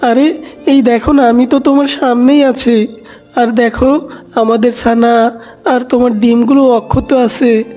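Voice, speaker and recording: A cartoon father duck acted by a man, pitched up well above normal talk. He is careful and protective, a worried husband guarding his family, with light music underneath. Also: fairly even intonation, measured pace, a little mellow. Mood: soothing.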